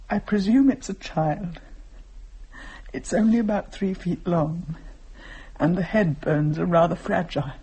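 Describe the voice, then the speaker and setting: Grave, mellow-voiced haunted hostess. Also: female voice, medium pitch, slightly rough, low-quality recording. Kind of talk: dramatic radio play acting.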